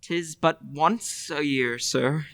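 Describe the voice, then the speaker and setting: A meek male clerk in a radio drama, acted by a man, with a fairly high voice. He speaks slowly and politely, stammering with hesitation in a dry, close sound. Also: a bit bright, no echo. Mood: timid, deferential. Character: timid, meek male clerk, deferential to his boss. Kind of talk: old-time radio drama acting.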